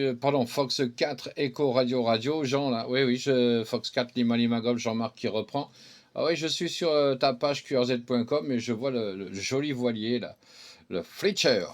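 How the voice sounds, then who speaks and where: A middle-aged or older man chatting casually as an amateur radio operator, rambling along and tagging little filler words onto the ends of phrases. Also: fairly low pitch. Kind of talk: casual radio contact chat.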